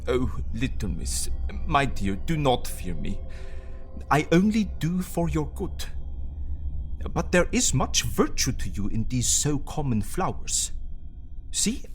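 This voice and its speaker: A man's voice: mid-range voice, big pitch swings, takes it slowly, soft background music. An actor playing an eccentric, kindly older professor in an audio drama, speaking in a solemn, fatherly manner and foreign-sounding syntax. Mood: gentle, coaxing.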